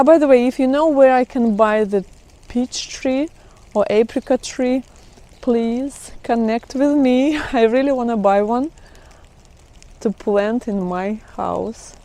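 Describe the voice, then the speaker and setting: A woman's voice: fairly high pitch, dark tone. Chatty woman with a Russian accent. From Kazakhstan. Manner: chatty, laughs often, non-native phrasing. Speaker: vlogger. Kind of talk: casual vlog chat. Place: outdoors by trees in melting ice.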